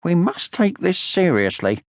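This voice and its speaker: A man voicing a small, timid yet boastful young male creature in a children's storybook, whiny, excitable and self-important. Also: sits high, unhurried, no room sound, clean studio sound, pitched above the performer's own voice, exaggerated voice. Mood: bossy. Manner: excitable, screechy, self-important muttering and squealing.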